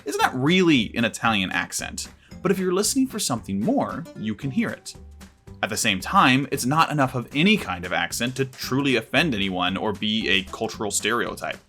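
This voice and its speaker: A man delivering scripted commentary as a video reviewer, in a measured, articulate way with emphatic phrasing. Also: mid-range voice, sing-song, pushed and bright, soft background music.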